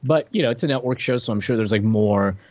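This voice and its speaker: Male American podcast co-host, informal conversational review style, medium pitch, slightly dull and very dry sound. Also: fast, a little mellow, dry recording. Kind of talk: casual chat reviewing a TV show.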